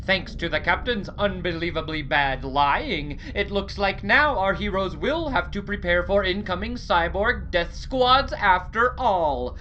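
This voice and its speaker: Bright, animated woman serial narrator. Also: medium pitch, unhurried, hardly any pauses, dull audio, slight background noise. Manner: theatrical announcer delivery. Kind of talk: dramatic scripted serial narration.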